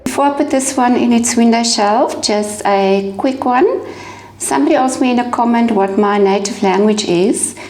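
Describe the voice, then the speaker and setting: A middle-aged woman from South Africa, whose first language is Afrikaans, speaking with a South African accent as she calmly explains each step of a fused glass project. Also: fairly high pitch, normal speed. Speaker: glass artist demonstrating a craft. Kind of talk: crafting tutorial voice-over and to-camera talk. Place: home room with a window. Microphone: lapel mic.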